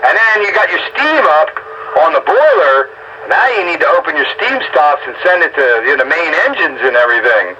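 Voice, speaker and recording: A man chatting casually over the radio, explaining things in an animated, emphatic way. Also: a little high, brisk. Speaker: amateur radio operator. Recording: dull lo-fi radio transmission, faint static.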